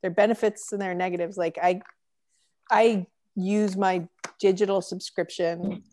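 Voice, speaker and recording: A young woman, a magazine editor, chatting casually on a video call through earbuds, with lots of fillers and quick laughs. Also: mid-range voice, steady tone, darkish tone. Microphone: earbud headset on video call.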